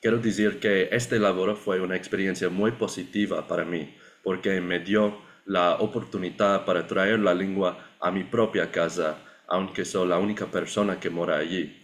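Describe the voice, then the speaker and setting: A young man with a notably low voice gives a prepared talk. He reads carefully, pausing to fix his words now and then. His delivery is that of a learner who is speaking a language that is not his first. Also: steady tone. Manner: careful learner's delivery, occasional self-corrections. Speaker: graduate student and project participant. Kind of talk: prepared presentation read aloud.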